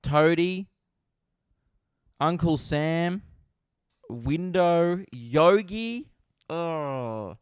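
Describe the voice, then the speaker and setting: Australian male comedy podcast host, close mic, smooth clear voice, loose and sweary, cracks himself up. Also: fairly high pitch, lively intonation, drawn-out words, pauses often, dry recording. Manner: riffing, swears freely, laughs at his own jokes. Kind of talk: casual solo podcast chat.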